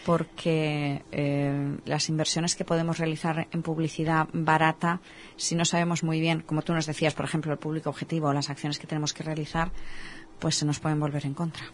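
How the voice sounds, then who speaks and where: Woman, Spain Spanish accent, radio host, low voice, conversational. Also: flat delivery, a little fast, a little hiss or hum. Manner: conversational, gives everyday examples. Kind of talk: casual chat interview.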